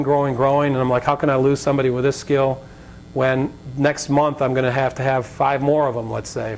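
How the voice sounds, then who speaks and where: An American man with a slightly raspy voice giving an informal, conversational talk as a guest speaker, telling anecdotes, joking and drifting into tangents. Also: a little mellow, poor audio. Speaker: guest speaker, business leader. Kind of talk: informal lecture with anecdotes and audience questions. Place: college lecture event before an audience. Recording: rumbly live talk with background noise.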